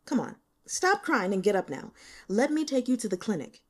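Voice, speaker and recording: A woman's voice: fairly high pitch, big pitch swings, normal speed. A woman voicing a brash, cocky male boss in an audiobook, flippant and casually bossy as he teases and lectures. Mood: awkward coaxing.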